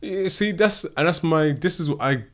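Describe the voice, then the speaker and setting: A man co-hosting a podcast, teasing and prodding his co-host in casual chat. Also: on the high side. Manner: fast-talking, teasing, prodding.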